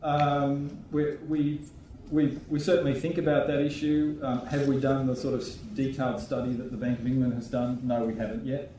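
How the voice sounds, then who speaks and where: A man, a regulator answering questions on a conference panel in a measured way, thinking aloud with restarts. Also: mid-range voice, flat delivery, dark tone, a little hiss or hum. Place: conference hall. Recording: echoey hall, voice from far off.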